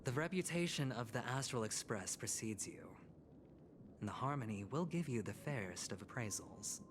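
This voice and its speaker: An actor playing a smooth, scheming young male corporate negotiator in a fantasy video game, with a sly and persuasive manner. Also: medium pitch, expressive, moderate pace, noisy background, natural voice. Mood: confident, persuasive. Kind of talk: theatrical video game voice acting. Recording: game music playing behind.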